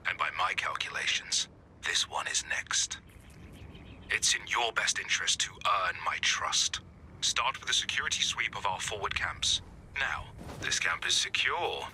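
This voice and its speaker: Stern, low-voiced field general. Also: male voice, sharp tone, slight background noise, exaggerated voice, poor audio. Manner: commanding, formal, guarded, clipped. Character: stern, world-weary field general and tactician. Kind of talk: scripted game mission briefing.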